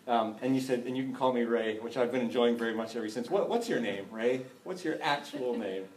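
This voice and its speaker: A man hosting an interview, asking thoughtful questions in a warm, chuckling way. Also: medium pitch, a little fast, recorded from a distance. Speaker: host. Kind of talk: conversational interview. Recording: echoey room with background noise.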